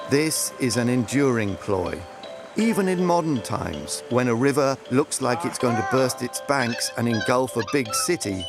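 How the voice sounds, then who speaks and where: A man reading a scripted explainer narration as the narrator. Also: medium pitch.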